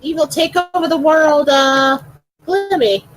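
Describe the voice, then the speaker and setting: A woman's voice: sits high, unhurried. A woman chatting casually in a group fan discussion. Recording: noisy background ambience.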